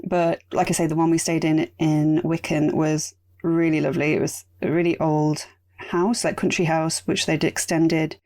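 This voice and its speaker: A woman hosting a casual solo podcast, chatty and rambling, her voice going raspy. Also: low-pitched, little pitch movement, moderate pace, a little mellow. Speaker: podcast host.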